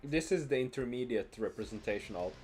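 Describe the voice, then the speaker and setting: A man's voice: mid-range voice, animated, brisk, darkish tone. A young man hosting a live coding stream, thinking aloud with many ums, his phrases fading out. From Norway. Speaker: streamer host. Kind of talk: casual live-coding chat. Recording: faint music and keyboard typing behind.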